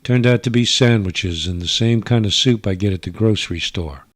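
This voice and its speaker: A man's voice: lowish voice, moderate pace, no room sound, studio quality. A man narrating a first-person radio drama in old-time style, as a government investigator giving his report.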